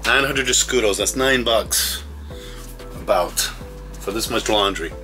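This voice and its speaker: A man's voice: on the low side, a little slow, over music. Man, easygoing and chatty, casual on-camera talk, outdoors, picked up by the camera mic. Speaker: fellow sailor, friend of the host.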